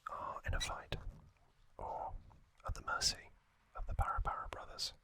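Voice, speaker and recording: An ASMR host speaking in a breathy, unvoiced whisper, giving a hesitant, off-the-cuff recap. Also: normal speed, sharp tone.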